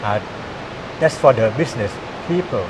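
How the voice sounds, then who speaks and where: A man with a Thai accent, an art lecturer answering interview questions in English, pausing often with "uh" and "yeah". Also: mid-range voice, expressive. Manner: halting, many "uh" pauses and "yeah" fillers. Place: exhibition hall. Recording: noisy hiss in background.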